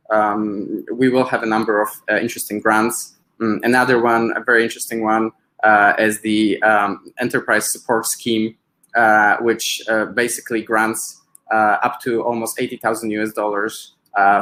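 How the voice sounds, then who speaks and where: A man from Poland with a Polish accent gives a webinar presentation as a business advisor, in a halting way with frequent filled pauses. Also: lowish voice, fairly bright tone, rough recording.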